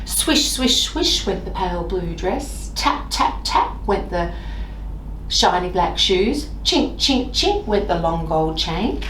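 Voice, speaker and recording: A woman reading a children's storybook aloud in an expressive, deliberate way, with sing-song repeated phrases. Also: fairly low pitch, recorded from a distance. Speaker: library staff member reading stories. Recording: roomy echo, off-mic, faint noise.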